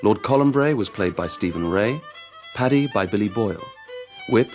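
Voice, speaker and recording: A male radio announcer reads scripted credits in a lowish voice. Soft music plays behind him. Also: animated, moderate pace.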